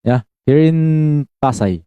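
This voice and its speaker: A man's voice: mid-range voice, expressive, measured pace, mellow, dry recording, dull audio. A man in his twenties with a Filipino accent, hosting a casual live stream, switching between Tagalog and English and calling out viewers by name. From Philippines. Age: 20s.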